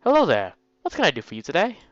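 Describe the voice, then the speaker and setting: A man's voice: fairly high pitch, huge pitch swings, fast talker, dry recording, lo-fi sound, faint music behind, pitched above the performer's own voice. A man playing a friendly, breezy young male school nurse in a visual novel, upbeat and casually reassuring.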